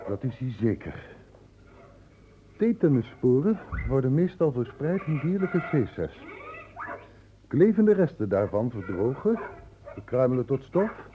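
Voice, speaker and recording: An actor playing a sharp, precise doctor who questions and explains in a Dutch radio play. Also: male voice, medium pitch, big pitch swings, unhurried, long pauses. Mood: explaining, confident. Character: sharp, probing male doctor investigating an infection outbreak. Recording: dull, noisy old radio recording.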